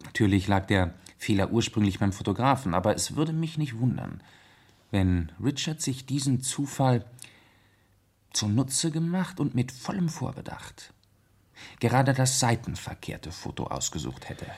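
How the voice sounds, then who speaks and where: An actor playing a gentleman detective in a 1970s radio play, reasoning calmly in with measured, deliberate pauses. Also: medium pitch, husky, exaggerated voice. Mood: pondering, explanatory.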